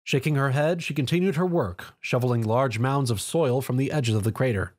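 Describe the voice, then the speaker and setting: A man's voice: mid-range voice, fairly quick, darkish tone. A man narrating a scripted story in a dramatic storytelling style.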